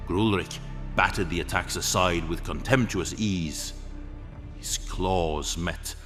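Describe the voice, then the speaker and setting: A man with a profoundly deep voice narrates a dramatic fantasy tale. His scripted delivery moves slowly, with music playing behind it.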